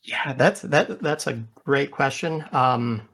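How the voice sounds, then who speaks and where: A man's voice: mid-range voice, slow pace, fairly bright tone. A man who is a guest in a casual interview, telling stories with animation and frequent laughs. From Michigan, USA.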